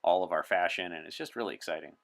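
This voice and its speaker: A man's voice: low voice, fast talker, creaky. A man speaking as an interview guest, articulate, emphatic and opinionated, chatting in character as a visitor from the sixties. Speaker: podcast guest. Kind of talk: in-character interview as a time traveler from 1964.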